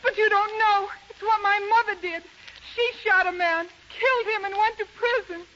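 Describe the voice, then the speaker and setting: A desperate young wife in an old radio melodrama. Her high voice pleads with frightened intensity. The recording is dull and faintly noisy. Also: steady tone, normal speed, bright, forceful tone. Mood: shaken. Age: young adult. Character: desperate, frightened young married woman in debt. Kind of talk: 1930s radio drama acting.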